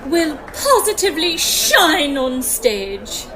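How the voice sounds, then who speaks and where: Woman playing an eager, very high-pitched young soprano. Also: big pitch swings, slow, noisy background, heavily acted. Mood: excited, teasing. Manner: gushing, playful. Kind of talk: period comedy audio drama acting.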